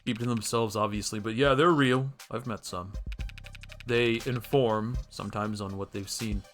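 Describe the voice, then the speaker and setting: A man's voice: fairly low pitch, steady tone, normal speed, a bit bright, faint music behind, pitched below the performer's own voice, lightly acted. Man reading as a sardonic noir game detective. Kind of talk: acted reading of game dialogue.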